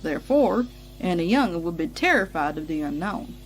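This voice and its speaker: Woman as a lively big-sister pony. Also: fairly low pitch, moderate pace, slight background noise, poor audio. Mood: reassuring. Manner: gentle, reassuring, folksy. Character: caring, reassuring country big-sister farm pony.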